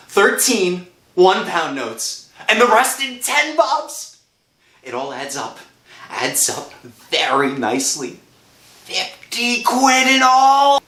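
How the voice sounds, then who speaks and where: Desperate down-and-out husband, melodramatic swings. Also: male voice, high voice, very animated, takes it slowly, pushed and bright, exaggerated voice. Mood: excited. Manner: melodramatic, emotive, swinging from murmur to outcry. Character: down-and-out, desperate unemployed husband, haunted and guilt-ridden. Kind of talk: 1960s radio horror drama acting, narrating and in character. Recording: roomy old-time radio recording with music.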